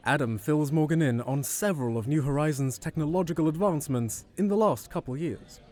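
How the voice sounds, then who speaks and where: A man's voice: mid-range voice, a little mellow. Man narrating an audio drama. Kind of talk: scripted narration.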